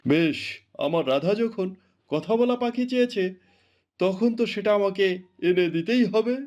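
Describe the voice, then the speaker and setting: A devoted king from a cartoon, acted by a man, with a high-pitched male voice. He is regal yet coaxing and reassuring, an indulgent husband by temper. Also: moderate pace, a little mellow, lo-fi sound, lightly acted. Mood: tender, reassuring. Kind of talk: cartoon voice acting.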